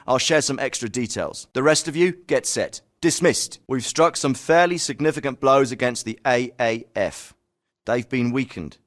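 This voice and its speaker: Male actor as special forces commander for a military game: composed, authoritative, formal briefing delivery, clipped orders, medium pitch. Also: close to an everyday voice.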